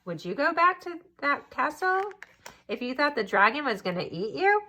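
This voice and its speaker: A woman's voice: sits high, sing-song, normal speed, pushed and bright, slight background noise. A woman reading a picture book aloud to children expressively, adding asides to her listeners.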